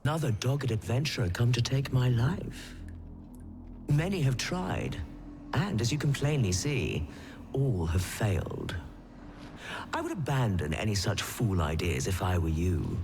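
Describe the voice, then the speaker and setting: A pompous creature that sets riddles in a fantasy game. Its pitch sweeps up and down theatrically, and its phrasing is archaic and haughty. Music sits behind it. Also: male voice, very animated, unhurried, darkish tone. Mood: haughty, taunting. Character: haughty riddle-setting creature guarding treasure chests. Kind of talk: scripted video game acting.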